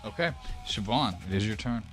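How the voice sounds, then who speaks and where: A man's voice: lowish voice, expressive, a little fast. A man running a tabletop fantasy game as game master, narrating turns and calling results. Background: music.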